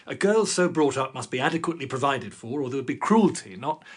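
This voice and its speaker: Casting: wealthy English patriarch, middle-aged, husky, cautious, expressive intonation, period radio drama. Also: male voice, mid-range voice. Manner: hesitant, measured, formal.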